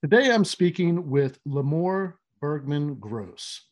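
A man's voice: fairly high pitch, big pitch swings, highs cut off, soft background music. A man hosting a podcast interview, speaking with frequent fillers and restarts. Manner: halting, many fillers and restarts. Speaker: podcast host.

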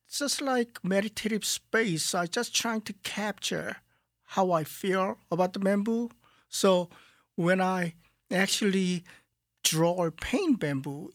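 A man from Korea with a Korean accent, a guest artist in a radio studio interview, speaking calmly and haltingly as he searches for words. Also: high voice, no echo. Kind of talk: calm interview talk.

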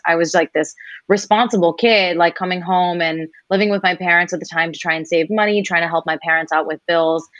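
A woman in her twenties shares her story as an interview guest, her voice sitting a little low. She's animated and slips into quoted voices for other people. The sound is dry and close, with no room around it. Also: no echo, lo-fi sound. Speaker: singer guest.

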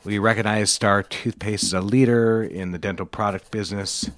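An actor playing a cold, stern advertising executive in an audio drama, curt, formal and condescending. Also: male voice, lowish voice, fairly even intonation, moderate pace, a bit bright, natural voice. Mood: businesslike.

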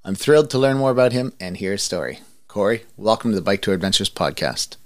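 A man's voice: on the low side, expressive, fast talker, dry recording. A man in his thirties hosting a podcast, talking to listeners and guests. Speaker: podcast host. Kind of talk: podcast talk and interview.

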